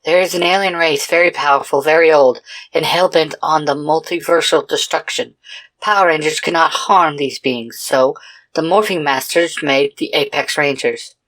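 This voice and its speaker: A woman voicing an earnest, commanding female team leader in an adventure story, measured and solemn. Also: low voice, fairly bright tone, low-quality recording.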